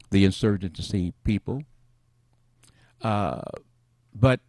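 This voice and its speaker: A middle-aged man hosting a casual talk show, soft-spoken and rambling, who addresses his viewers as family. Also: on the low side, no echo. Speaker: host.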